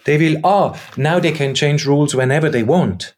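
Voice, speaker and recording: A man's voice: fairly high pitch, normal speed, a little mellow. A man whose first language is German, answering interview questions in a searching, reflective way as a theater maker. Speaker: theater maker and game co-creator.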